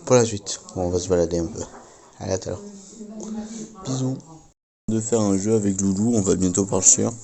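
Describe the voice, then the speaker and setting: A man's voice: low-pitched, fairly quick, gravelly, pauses often, a crowd in the background. A man chatting casually to his viewers as a vlogger, speaking informally. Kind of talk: casual vlog chat to viewers.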